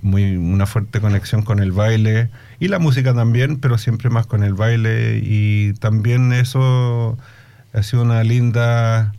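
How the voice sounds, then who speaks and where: A middle-aged man with a Chilean accent tinged with Australian English. His voice is dark, mellow and fairly low. He answers reflectively as an interviewee, often hesitating for the right word and mixing in English. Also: steady tone, a little slow. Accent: Chilean Spanish with Australian English influence. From Australia, Chilean immigrant family. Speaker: musician and music educator being interviewed.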